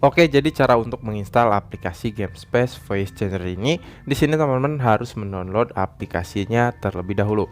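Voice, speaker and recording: Man narrating a step-by-step tutorial. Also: medium pitch. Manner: step-by-step explaining, repetitive phrasing. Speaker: tutorial channel host. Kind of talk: phone app tutorial narration. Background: background music.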